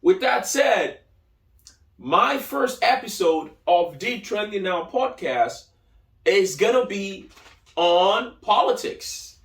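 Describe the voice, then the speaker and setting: High-voiced Nigerian man in an echoey room. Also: expressive, slow pace, a bit bright. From Lagos, Nigeria. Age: 30s. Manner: emphatic, repeats key phrases for effect. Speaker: podcast host. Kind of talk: solo opinion podcast monologue. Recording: echoey room, distant from mic.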